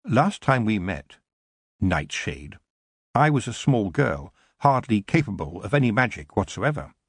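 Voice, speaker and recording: A man reading an audiobook, voicing a firm young witch girl who speaks with measured authority. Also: on the low side, sing-song, slightly rough, no echo, clean studio sound, full character voice. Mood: commanding.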